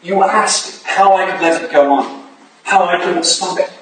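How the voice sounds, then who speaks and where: A man's voice: high-pitched, measured pace, a bit bright. A man playing an earnest, pleading Victorian husband in a staged reading of a period play. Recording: echoey hall, distant mic, hissy noise.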